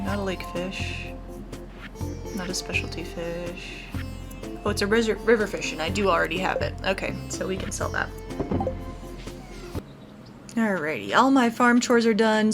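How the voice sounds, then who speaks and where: A woman hosts a gaming video with casual, think-aloud commentary. Her voice sits at an ordinary middle pitch for a woman, and game music plays clearly behind her as she talks through each step. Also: unhurried, long pauses. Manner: narrates own actions aloud. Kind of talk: casual let's play commentary.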